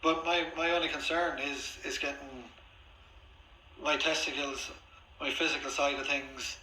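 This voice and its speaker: Insistent man, sharp and forceful. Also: on the high side, fairly even intonation, a little slow. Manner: insistent, repetitive, complaining. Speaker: patient on a phone call. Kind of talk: phone conversation, arguing his case. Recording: echoey, faintly noisy room, recorded phone call.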